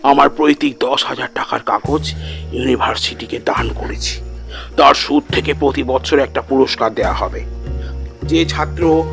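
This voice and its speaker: Man acting a low, weakened, stubborn dying man. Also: gravelly. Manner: blunt, curt last instructions. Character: stubborn dying man, grimly matter-of-fact. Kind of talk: audio story character acting.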